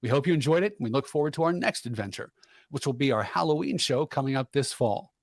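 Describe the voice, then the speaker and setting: Male host, animated intonation, scripted announcing, radio show presenter. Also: mid-range voice, fast talker, dry recording, studio quality.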